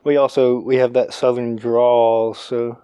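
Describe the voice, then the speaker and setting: A man's voice: mid-range voice, level tone, unhurried, warm tone. A young man co-hosting a podcast in casual chat, rambling with lots of fillers and restarts. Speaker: podcast co-host.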